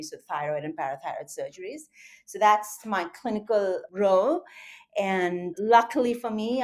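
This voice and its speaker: A middle-aged woman with an Indian accent, a surgeon and medical educator, recounting her career in an interview in a measured, articulate way. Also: on the low side, darkish tone. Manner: measured, articulate, with occasional fillers.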